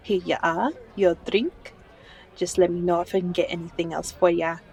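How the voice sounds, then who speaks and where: A woman's voice: lowish voice, fairly even intonation, normal speed, gravelly, a little mellow. A woman playing a friendly, wry bartender in a fantasy audio roleplay, chatty and familiar in manner. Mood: welcoming, folksy.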